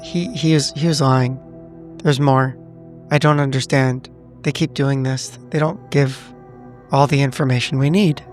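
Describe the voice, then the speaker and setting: A man's voice: medium pitch, fairly even intonation, measured pace, soft background music, natural voice. A man voicing a shaken, evasive veteran agent in a tabletop roleplay, stammering and repeating himself. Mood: troubled, defensive. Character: shaken, evasive male veteran agent, smoking, guiltily confessing. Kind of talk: improvised in-character roleplay.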